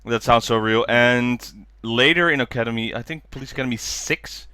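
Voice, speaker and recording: A man chatting casually as a podcast co-host, telling things in a halting, joking way. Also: mid-range voice, pushed and bright, slight background noise. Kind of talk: casual chat.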